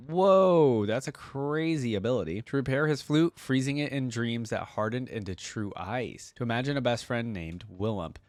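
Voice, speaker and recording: A man hosts a reaction video, reading story text aloud with expression and adding casual, slangy asides. His voice sits at an ordinary middle pitch. The sound is close and dry, with very little room around it. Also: a little mellow, no echo.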